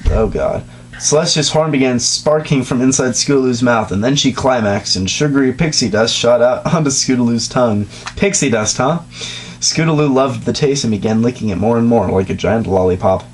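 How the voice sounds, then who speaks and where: Guy reading a story out loud for laughs, dry sarcastic comments, faint hum behind. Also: mid-range voice, rough recording. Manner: sarcastic, deadpan asides. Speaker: reader and host. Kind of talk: comedic dramatic reading.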